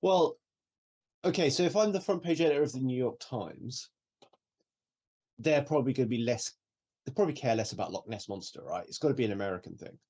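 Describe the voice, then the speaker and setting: Lively Welsh-born man with English accent. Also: mid-range voice, fairly quick, long pauses. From Wales. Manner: animated, laughs often, swears casually. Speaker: podcast co-host. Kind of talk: casual chat.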